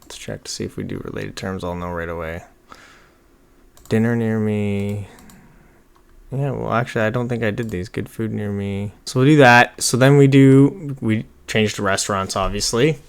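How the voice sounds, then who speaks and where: Low-voiced man, rambling casual tutorial. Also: sing-song, brisk, gravelly. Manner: rambling, informal, muttering to himself while working. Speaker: online marketing tutorial host. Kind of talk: casual screen-share tutorial, thinking aloud. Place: small indoor room at a computer.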